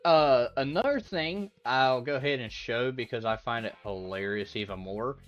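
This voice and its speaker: A man's voice: mid-range voice, moderate pace. Rambling young man streaming, lo-fi sound. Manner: rambling, laughs easily, reads out chat messages. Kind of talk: casual livestream chat with viewers. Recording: dull lo-fi audio with faint background music.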